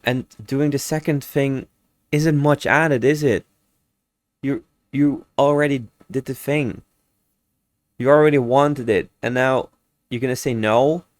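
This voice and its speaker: Slow, rambling nineteen-year-old guy. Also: medium pitch, fairly even intonation, no room sound. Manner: meandering, exasperated, trips over his words and corrects himself. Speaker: reaction video host. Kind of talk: casual reaction commentary.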